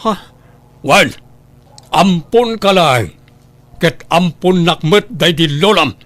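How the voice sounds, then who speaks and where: A man playing a greedy, cruel father with an Ilocano Filipino accent and a menacing, drawn-out delivery in a radio drama. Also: sits high, lively intonation, a bit bright, no echo, full character voice. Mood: menacing, angry. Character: greedy, cruel, threatening father in a family feud.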